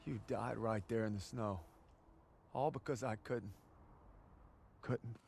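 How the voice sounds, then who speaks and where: A man's voice: lowish voice, fairly even intonation, slow pace, creaky, a little mellow, a little hiss or hum. An actor playing an earnest, haunted young farm boy soldier from Texas, speaking solemnly and plainly with a Southern accent in a war game. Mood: haunted, grieving. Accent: American South, Texas. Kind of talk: cinematic war game acting with reflective narration. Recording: cinematic music behind the voice.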